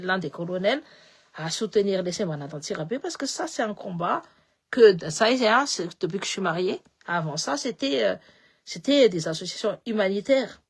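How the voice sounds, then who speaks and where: A woman with a slight Malagasy accent talking in a casual, animated way, repeating words as she goes and switching between languages. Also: mid-range voice, breathy edge. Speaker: political commentator. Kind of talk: casual live talk.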